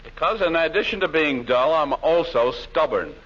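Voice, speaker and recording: An actor playing a dull, gullible, stubborn husband with a naive manner in 1940s radio comedy style. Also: male voice, a little high, animated, normal speed, fairly bright tone. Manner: naive, literal-minded. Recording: noisy, muffled old radio recording.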